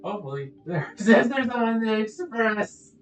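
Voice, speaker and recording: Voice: medium pitch, big pitch swings, measured pace. A game streamer giving casual, playful commentary full of silly jokes over gameplay. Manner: goofy, playful. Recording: echoey, muffled audio with faint music.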